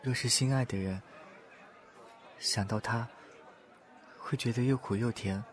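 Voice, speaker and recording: A man playing a gentle, reserved young man in an audio drama, speaking candidly and softly in measured, short phrases. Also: mid-range voice, lightly acted. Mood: hesitant, tender. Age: young adult. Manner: soft-spoken, measured, pausing between short phrases. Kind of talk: Chinese audio drama acting, confessional. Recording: music and crowd chatter behind voice. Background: music and crowd.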